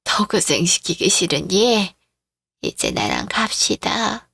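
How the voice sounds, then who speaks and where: A woman's voice: fairly low pitch, wildly swooping pitch, takes it slowly, creaky, fairly bright tone, slightly rough, no room sound, clean studio sound, full character voice. A woman playing a frail, confused elderly mother-in-law, tender and longing, in a radio-drama style story. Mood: tender, dreamy.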